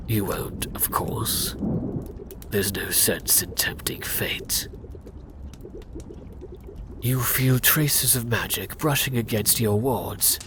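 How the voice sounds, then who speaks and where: Slow, hushed man narrating ASMR. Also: lowish voice, background noise. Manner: hushed, often whispering, dramatic pauses. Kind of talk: ASMR scripted narration of fantasy roleplay.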